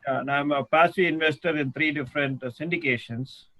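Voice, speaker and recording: A man introducing himself on a video call, speaking haltingly with many restarts. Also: on the high side, steady tone, bright, forceful tone. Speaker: real estate investor.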